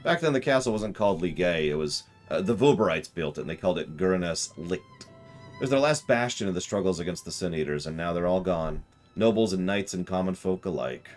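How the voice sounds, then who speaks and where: A man reading a nostalgic old game shopkeeper's lines aloud in a plain, low voice. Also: lively intonation, brisk, a little mellow, faint music behind. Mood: wistful.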